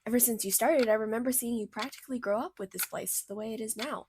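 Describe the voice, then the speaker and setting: A woman voicing a bubbly, caring female cartoon character in a radio play, chatty, motherly and expressive. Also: a little high, fast talker, background noise, natural voice. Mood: warm, encouraging. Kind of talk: fictional radio theater acting.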